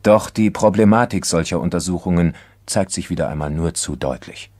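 A sober male crime narrator with a very low voice in a dry recording. He delivers a scripted case report in formal, measured phrases. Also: darkish tone, no echo. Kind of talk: scripted documentary crime radio-drama narration.

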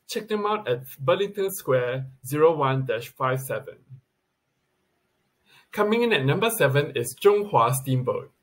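A man's voice: fairly high pitch, animated, unhurried. A man reading a scripted countdown list as a video presenter, recorded on a webcam in a plain indoor room.

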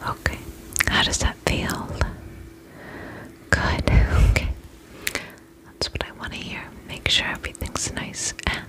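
A woman whispering breathily in a soothing, attentive ASMR roleplay as a gentle vampire spa attendant. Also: unhurried, long pauses, low-quality recording.

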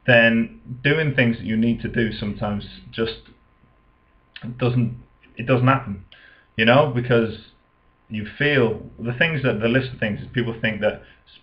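Lo-fi muffled sound, man in his twenties, medium pitch, casual personal trainer advice, halting and repetitive phrasing, talking to camera indoors. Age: 20s. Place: small room at home, indoors. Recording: dull lo-fi webcam sound.

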